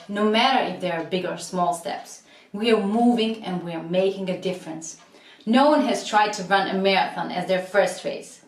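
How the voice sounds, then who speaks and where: A woman, a human rights advocate, giving a steady, formal prepared statement. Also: mid-range voice. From Croatia. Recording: echoey room, distant mic.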